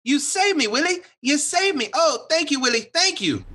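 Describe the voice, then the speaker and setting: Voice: high voice, expressive, normal speed, noisy background. An actor playing an eager, earnest, folksy country boy in a radio play. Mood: grateful, excited. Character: eager, tender country boy, devoted to his pet.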